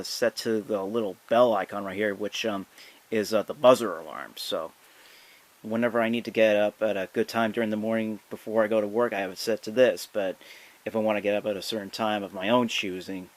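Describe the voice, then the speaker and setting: A young man giving a casual, unscripted product demonstration in a halting, hesitant, apologetic way. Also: lowish voice. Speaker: amateur product reviewer.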